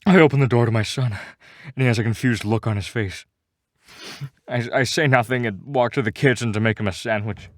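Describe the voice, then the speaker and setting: A man's voice: mid-range voice, fairly quick, no room sound, clean studio sound. A man reading a first-person story in a mock-serious, melodramatic way, as scripted dramatic narration.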